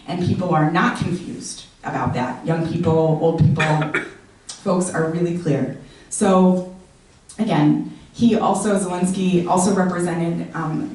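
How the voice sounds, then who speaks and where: A woman's voice: lowish voice, darkish tone. An American woman giving an informal presentation to an audience, talking conversationally with frequent "um" fillers. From United States. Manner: conversational, many "um" fillers, explanatory. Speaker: guest speaker. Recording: echoey room, distant mic.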